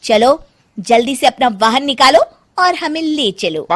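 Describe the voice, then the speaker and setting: A woman's voice: sits high, animated, a little slow, a bit bright, heavily acted. A woman voicing an imperious young daughter-in-law who gives orders like a queen in a comic story. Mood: haughty. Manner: bossy, commanding.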